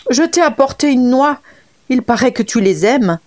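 A woman's voice: sits high, lively intonation, moderate pace, a little mellow, pitched above the performer's own voice. A woman voicing a frustrated leopard who keeps demanding his money. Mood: sly. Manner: complaining, demanding. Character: hungry leopard creditor, frustrated and angry.

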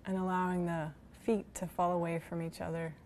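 A woman guiding a body scan meditation in a gentle, soothing manner, leaving long pauses between phrases. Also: fairly low pitch, little pitch movement, slight background noise. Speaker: meditation guide.

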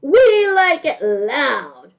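A woman plays swamp alligators from a children's storybook, eager and bold. The voice is high and lively, with emphatic, enthusiastic delivery. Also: extremely slow, gravelly, full character voice. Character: enthusiastic, music-loving swamp alligators inviting a band.

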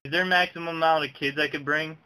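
A man's voice: on the high side, steady tone, fast talker, sharp tone, clear, resonant tone, rough recording. A young man making casual prank calls in a slangy, mock-earnest way, recorded on a webcam in a small room. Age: young adult. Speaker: prank caller. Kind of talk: casual prank phone chat.

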